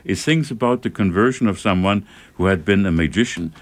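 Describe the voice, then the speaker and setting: A man co-hosting a radio show, explaining and translating for listeners in a halting way with many uhs. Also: deep voice.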